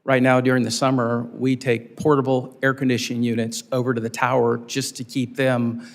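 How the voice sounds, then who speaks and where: Gravelly, even-toned American man testifying. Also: medium pitch, a little fast. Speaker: airport executive testifying as witness. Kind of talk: informal explanatory testimony.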